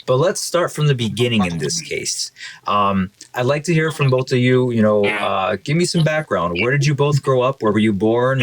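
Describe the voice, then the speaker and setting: A man's voice: medium pitch, poor audio. A man hosting a podcast in a casual, upbeat way, hyping up his guests and asking them questions. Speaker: podcast host. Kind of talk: casual interview chat.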